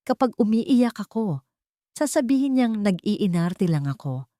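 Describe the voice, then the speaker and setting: A woman's voice: medium pitch, big pitch swings, a little slow, warm tone, dry recording, clean studio sound, pitched above the performer's own voice. Pained, frightened Filipino woman in hiding, voiced by a narrator. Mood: bitter, pained. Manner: pained, dramatic. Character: frightened, bitter woman hiding from her abusive family. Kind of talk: dramatised storytelling.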